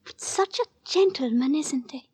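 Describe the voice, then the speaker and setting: A woman's voice: high-pitched, lively intonation, a little slow, over loud music, low-quality recording. An actress playing a naive, cheerful young Victorian woman, polite and girlish, in a 1940s radio drama. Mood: amused, playful. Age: young adult. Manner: bright-eyed, polite, girlish. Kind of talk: 1940s radio horror acting.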